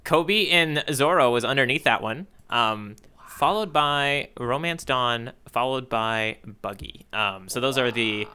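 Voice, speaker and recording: A man's voice: mid-range voice, sharp tone, clear, resonant tone. A man co-hosting a podcast, enthusiastic and joking in casual banter. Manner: enthusiastic, quick to joke, cheers and exclaims. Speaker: podcast co-host.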